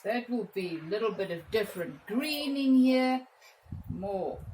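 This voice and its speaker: A woman with an Afrikaans accent, chatting with viewers as she teaches a painting lesson and calling out cheerful exclamations as she works. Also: mid-range voice. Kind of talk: casual step-by-step painting lesson. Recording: echoey room with faint background noise.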